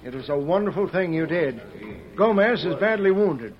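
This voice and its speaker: An actor playing a respectful, official cavalry trooper in an old radio western, speaking in earnest declarations. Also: male voice, high voice, expressive, moderate pace. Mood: earnest, admiring. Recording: muffled vintage radio recording, faint noise.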